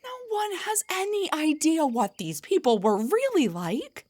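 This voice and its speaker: A woman voicing an indignant, defensive half-drow bard in a scripted fantasy roleplay audio, with a breathy, theatrical delivery. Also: high-pitched, sing-song, measured pace, a little mellow. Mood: incredulous, exasperated. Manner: theatrical, sarcastic, emphatic, stammers when rattled. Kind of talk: scripted roleplay monologue, one side of a conversation.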